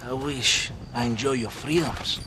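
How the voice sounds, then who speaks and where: An actor playing a world-weary, self-justifying Mexican army captain in a video game, with a Mexican accent and a theatrical, grandiloquent way of talking. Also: male voice, medium pitch, unhurried, noisy background, close to an everyday voice. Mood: smooth, persuasive. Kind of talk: video game cutscene acting.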